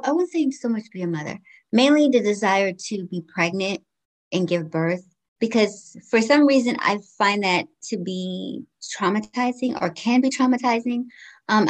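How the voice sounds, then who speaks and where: A woman in her forties from Guyana, raised in the United States, answering interview questions in a thoughtful, candid way. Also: mid-range voice. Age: 40s. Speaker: podcast guest.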